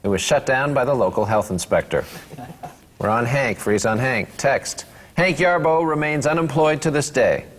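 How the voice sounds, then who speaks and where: A man's voice: mid-range voice, normal speed. A man reading stage directions and narration aloud at a sitcom script read-through. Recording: long hall reverb, faint room noise.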